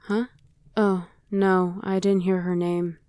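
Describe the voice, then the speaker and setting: A woman's voice: lowish voice, level tone, slow, dark tone, smooth, no echo. A woman playing a casual young female survivor of a demon invasion in an ASMR roleplay. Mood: casual, explaining. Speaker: voice actor.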